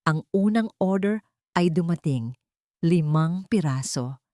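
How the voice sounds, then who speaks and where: Low-voiced woman narrating, dry sound. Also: darkish tone, no echo. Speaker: audiobook narrator. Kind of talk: scripted first-person dramatic story narration.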